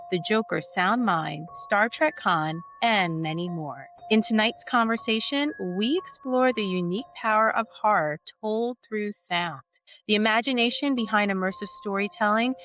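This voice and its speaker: A woman's voice: mid-range voice, a bit bright. Woman hosting a podcast interview. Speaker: podcast host.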